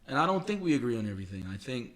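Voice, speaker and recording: A man co-hosts a casual podcast conversation, speaking in a halting, reflective way and stretching words for emphasis. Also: mid-range voice, sing-song. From Queens, New York, United States. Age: 40s. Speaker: podcast co-host.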